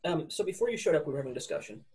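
A man in his thirties, co-hosting a podcast from home, telling stories in a lively way. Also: a little high, rapid-fire, a crowd in the background. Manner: animated storytelling. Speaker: podcast co-host. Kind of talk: casual chat on a video call. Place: room at home.

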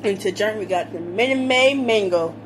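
A woman's voice: medium pitch, normal speed. A young woman casually tasting food and commenting between bites, filmed close on a phone at a table indoors. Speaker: vlogger. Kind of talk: casual eating vlog chat. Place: small room indoors at a table. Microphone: phone camera close up. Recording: noisy home phone recording.

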